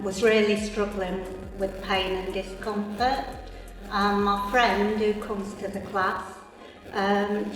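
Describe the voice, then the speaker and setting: A woman in her sixties giving a gentle, unhurried testimonial with pauses. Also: medium pitch. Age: 60s. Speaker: class member giving a testimonial. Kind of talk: interview testimonial. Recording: echoey hall from far off, soft music behind.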